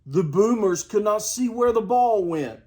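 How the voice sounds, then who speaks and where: An older man reading a children's storybook aloud with expressive, deliberate storytelling. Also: high voice. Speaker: grandparent storyteller. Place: outdoors.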